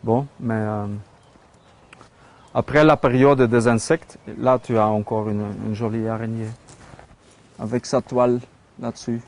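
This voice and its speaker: A man's voice: mid-range voice, steady tone, a little slow, pauses often, darkish tone. A Belgian man with a Flemish accent, speaking French hesitantly with many fillers and restarts as he shows his metal sculptures. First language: Dutch. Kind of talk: casual studio tour.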